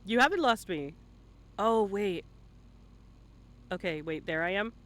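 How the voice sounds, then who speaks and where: Man, high voice, bright tone, informal interview host, easygoing, casual stream chat. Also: lively intonation, unhurried.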